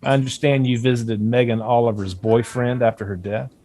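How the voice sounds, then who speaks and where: Man playing a guarded, quietly menacing doctor. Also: mid-range voice, steady tone, a little fast, a little hiss or hum, natural voice. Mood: measured, probing. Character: smooth, veiledly menacing male doctor, defensive and condescending. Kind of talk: screenplay table read.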